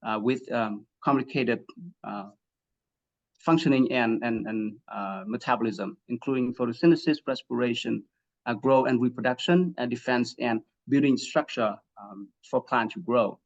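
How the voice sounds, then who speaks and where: A man from Vietnam giving an academic webinar talk, halting with many fillers and restarts and frequent throat clearing. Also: mid-range voice, dull audio. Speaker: guest speaker, assistant professor.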